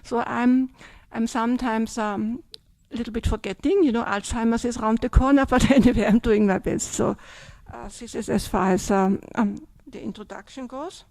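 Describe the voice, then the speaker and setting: Chatty older Austrian nun, mellow voice. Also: female voice, fairly high pitch, fairly even intonation, normal speed, vocal fry, few pauses, dry recording. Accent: Austrian German. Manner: chatty, tags sentences with "yeah?" and "yes?". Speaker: Buddhist nun. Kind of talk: dharma talk.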